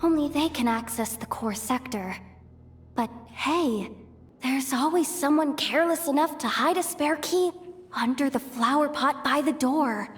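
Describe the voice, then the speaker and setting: A high, bright-spirited young game heroine, scrappy and self-reliant. She mutters to herself theatrically at a measured pace, with music underneath. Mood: self-coaxing, plotting. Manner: exasperated, theatrical muttering and self pep talk. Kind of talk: animated video game voice acting.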